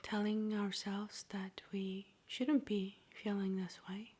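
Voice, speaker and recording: Breathy whispering woman, slow ASMR meditation. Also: mid-range voice, level tone. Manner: slow, gentle, soothing delivery with long pauses. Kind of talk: soft-spoken whispered ASMR guided meditation.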